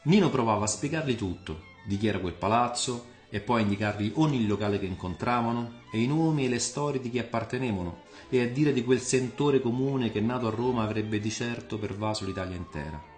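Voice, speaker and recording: A man's voice: mid-range voice, fairly quick, few pauses. A man reading scripted literary narration as a radio storyteller. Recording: reverberant voice over music. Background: soft music.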